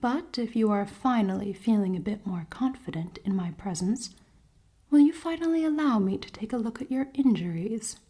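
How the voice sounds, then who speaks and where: Woman doing a cold elf queen asmr roleplay, soft breathy half whisper, dark relaxed tone, bossy n teasing. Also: fairly high pitch. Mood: commanding, coaxing. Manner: slow, formal, commanding, teasing. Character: cold-hearted, dominant elven queen, haughty, secretly caring.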